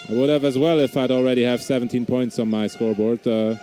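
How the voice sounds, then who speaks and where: A man's voice: medium pitch, fairly even intonation, fast talker, dark tone, faint music behind. A man with a Swiss German accent, a non-native English speaker, giving live basketball play-by-play with frequent hesitations and restarts. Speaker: basketball commentator.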